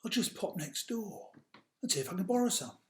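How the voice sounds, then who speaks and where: A man's voice: sits high, expressive, brisk, voice pushed up. A man voicing a polite, mildly put-upon little mouse with genteel phrasing in a children's story. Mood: polite, hopeful. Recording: roomy, echoey sound.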